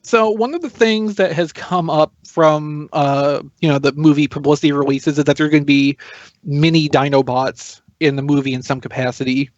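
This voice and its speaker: A man's voice: fairly high pitch, steady tone, normal speed, dry recording, dull audio. A man chatting casually as a podcast panelist, explaining and thinking aloud as he goes. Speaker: toy news podcast panelist.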